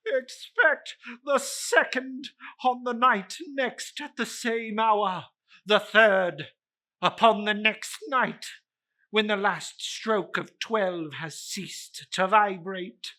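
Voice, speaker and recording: Tormented male ghost, dramatic storybook reading, slow, piercingly bright, wailing, ominous, solemn. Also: high voice, pitched above the performer's own voice, exaggerated voice. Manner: wailing, drawn-out, ominous declamation. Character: tormented ghost of a dead businessman, anguished, solemn warner.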